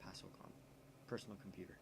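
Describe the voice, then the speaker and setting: A man's voice: fast, in a whisper, low-quality recording. A man from the United States hosting a casual let's play, reading a visual novel aloud with jokey, opinionated asides.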